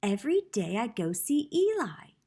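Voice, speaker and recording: Storybook puppet girl female reader: higher-pitched, breezy, confident, untroubled. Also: big pitch swings, normal speed, mellow, slightly rough, heavily acted.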